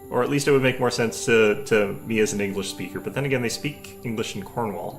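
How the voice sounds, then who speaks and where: A young man with a low, gravelly voice chats loosely with his stream viewers, wandering between thoughts with plenty of fillers. Faint music plays underneath him. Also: quick pace. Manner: rambling, many fillers and restarts. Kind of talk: casual chat with stream viewers.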